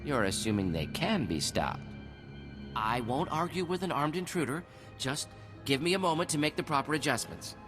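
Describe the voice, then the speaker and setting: An actor playing a proud, arrogant scientist in a video game, formal and defensive, stammering when flustered. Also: male voice, a little high, expressive, normal speed, natural voice. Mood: proud, resigned. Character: brilliant, arrogant male droid scientist, defensive and unrepentant. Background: game music.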